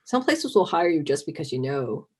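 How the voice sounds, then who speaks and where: A woman guest whose first language is Cantonese, chatting in a relaxed way with plenty of fillers. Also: low-pitched, normal speed, breathy edge. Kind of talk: casual interview chat.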